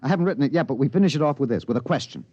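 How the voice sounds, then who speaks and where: A man playing an excited, obsessed radio reporter with an American accent, stammering and emphatic in 1950s radio drama style. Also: mid-range voice, racing, dry recording, natural voice. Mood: urgent, insistent. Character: excited radio newsman, agitated, obsessed with breaking a cover-up. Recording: dull vintage radio recording.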